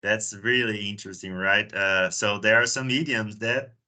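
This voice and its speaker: A man's voice: fairly low pitch, steady tone, moderate pace, sharp tone. A Brazilian man hosting a video call, chatty and often repeating words as he starts a sentence. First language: Portuguese. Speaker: meeting host. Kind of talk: casual conversation on a video call.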